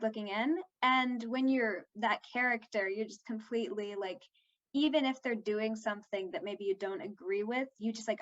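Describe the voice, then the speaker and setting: A girl of fourteen with a fairly high voice talks casually in an interview. She is chatty and drops "like" into her sentences often. The recording sounds somewhat dull and lo-fi. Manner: chatty, peppers talk with "like". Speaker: young actress being interviewed.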